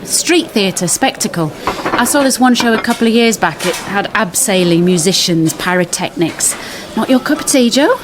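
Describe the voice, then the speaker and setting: An actress playing a senior woman detective who leads her team briskly, with a dry sense of humour. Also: mid-range voice, animated, natural voice. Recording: radio drama with background noise.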